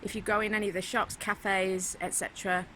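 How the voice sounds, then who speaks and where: A woman's voice: mid-range voice, flat delivery, fairly quick, sharp tone. Travel vlogger, British woman, casual and rambling with ums, recorded outdoors on a handheld camera with street noise. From United Kingdom. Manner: chatty, lots of ums, talks to camera. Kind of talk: casual walking tour vlog. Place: outdoors in a city, beach and streets.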